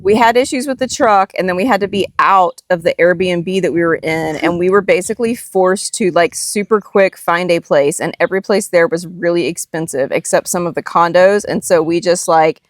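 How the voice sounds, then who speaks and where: A woman's voice: on the low side, normal speed, few pauses, no room sound, clean studio sound. A woman chatting casually as a parent co-hosting a family vlog. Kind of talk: casual chat.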